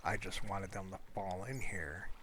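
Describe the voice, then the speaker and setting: A man with a very deep voice talks through a video game in a casual, low-key way. He speaks slowly and hesitantly, rambling as he goes. The recording has clearly audible noise behind him. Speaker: gamer showing a Minecraft world. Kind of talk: casual gameplay commentary.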